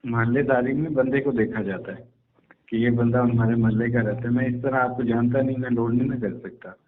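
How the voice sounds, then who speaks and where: A man's voice: medium pitch, fairly even intonation, fairly quick. A man in his forties with a Pakistani accent, politely and repeatedly explaining himself in a casual phone conversation. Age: 40s. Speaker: mobile top-up shopkeeper. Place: small shop, over the phone. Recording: tinny phone line with background noise.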